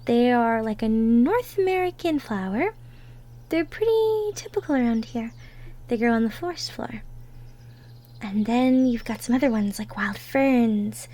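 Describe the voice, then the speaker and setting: A woman playing a gentle, cheerful young cottagecore woman from the woods in an intimate roleplay audio, soft-spoken, giggly and sweet. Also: fairly high pitch, lively intonation, unhurried. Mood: chatty, enthusiastic. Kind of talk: roleplay audio drama, intimate one-sided conversation.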